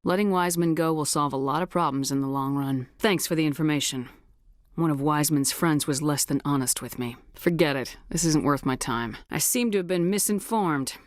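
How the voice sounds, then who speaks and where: A commanding military woman from a sci-fi game, performed by an actress. Her voice sits fairly low, and her delivery is firm, clipped and no-nonsense. The sound is dry and studio-clean, with very little room. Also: brisk, no echo, lightly acted. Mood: firm, measured. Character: tough, commanding female military commander in space. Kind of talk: video game dialogue lines.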